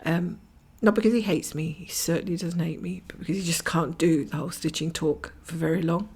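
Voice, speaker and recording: A middle-aged woman from Scotland chatting casually while she cross-stitches at home, rambling from topic to topic and often dropping to a whisper, her voice croaky and her nose blocked. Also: fairly low pitch, steady tone, brisk, darkish tone. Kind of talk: casual stitch-along chat. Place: at home, camera over her stitching hoop.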